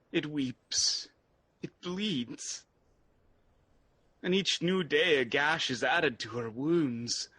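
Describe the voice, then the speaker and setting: Young exiled prince, male actor: anguished and fervent, bright forceful husky voice, halting classical stage delivery. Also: on the high side, no echo, exaggerated voice. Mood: wary, halting. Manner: halting, theatrical, impassioned delivery. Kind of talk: Shakespearean radio drama acting.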